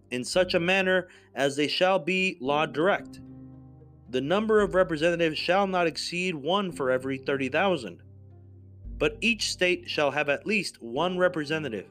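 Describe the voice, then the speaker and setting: A man giving a formal, scripted reading as a podcast narrator, in a measured, deliberate manner. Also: on the high side, a bit bright. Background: music.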